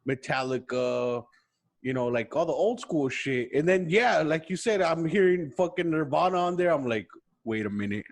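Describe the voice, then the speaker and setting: Thirties guy hosting a podcast, a bit higher voice, swears a lot, says bro all the time. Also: lively intonation, normal speed. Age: 30s. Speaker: podcast host. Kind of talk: casual chat.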